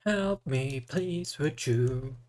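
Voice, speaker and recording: Slow-paced male game streamer. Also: medium pitch, darkish tone. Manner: halting, stumbles when reading aloud. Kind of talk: casual live game commentary and reading aloud.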